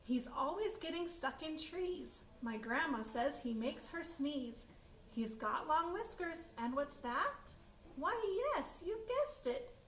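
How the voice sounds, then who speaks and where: A woman's voice: high-pitched, very animated, slow pace, a bit bright. A woman reading a rhyming children's picture book aloud, addressing children and inviting guesses. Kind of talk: rhyming storybook read-aloud. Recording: echoey room, background noise.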